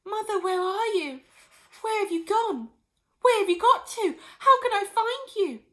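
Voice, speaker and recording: A woman voicing a frantic middle-aged farm wife who wails and shrieks in a high, shrill voice. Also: big pitch swings, fairly quick, lightly acted. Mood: wailing. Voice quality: shrill, wailing.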